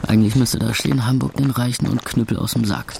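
An actor playing a weary, cynical young club owner in a radio play, narrating and talking with dry sarcasm. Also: male voice, medium pitch, steady tone, fast talker, vocal fry, darkish tone, slight background noise, natural voice. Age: young adult. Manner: dry, sardonic.

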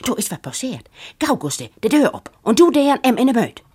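A woman voicing a resolute, proud old aunt in a story, commanding and brisk, with a Northern German Low German accent. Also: big pitch swings, dark tone, slightly rough.

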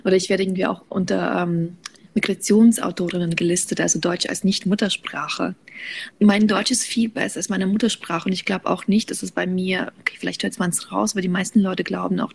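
A woman's voice: lowish voice, fairly even intonation, fairly quick, gravelly, slight background noise. A woman whose first language is Russian, answering interview questions in a reflective way and restarting her sentences often. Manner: reflective, with frequent restarts and fillers. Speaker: guest author. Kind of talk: thoughtful conversational interview.

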